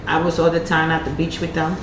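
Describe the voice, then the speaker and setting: Soft-spoken Puerto Rican mother. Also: deep voice, monotone, quick pace, heavy background noise. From Puerto Rico. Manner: brief, soft-spoken answers. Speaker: guest, vlogger's mother. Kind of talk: casual chat. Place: home kitchen. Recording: roomy kitchen with music.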